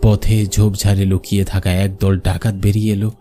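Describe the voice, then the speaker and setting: A man's voice: low voice, flat delivery, normal speed, warm tone. A man playing a frightened village showman, narrating his own horror story for a cartoon. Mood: haunted, tense. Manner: dramatic storytelling. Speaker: narrator and main character. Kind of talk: scripted first-person horror cartoon narration.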